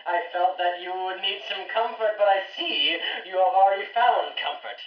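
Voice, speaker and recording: An actor playing an outraged, grasping young fiancé with a melodramatic, stammering delivery in an old-time radio play. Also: male voice, high voice, moderate pace, close to an everyday voice. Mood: scornful. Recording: dull, echoey, distant radio-play sound.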